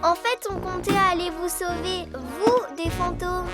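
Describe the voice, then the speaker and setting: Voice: extremely high pitch, unhurried. An actor voicing a cheerful, playful young girl elephant, eager and bold, in a French children's cartoon. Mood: teasing, eager. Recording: loud children's music underneath.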